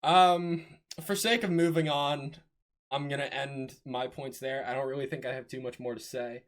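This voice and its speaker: A young man co-hosting a podcast, giving his measured opinion in casual chat. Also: mid-range voice, steady tone. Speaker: podcast co-host. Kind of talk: casual music review chat.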